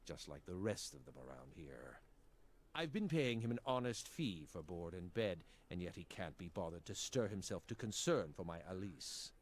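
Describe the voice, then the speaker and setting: A man's voice: deep voice, very animated, normal speed. An actor playing an anxious, haughty middle-aged nobleman and father in a fantasy game, with formal, indignant speech. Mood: contemptuous.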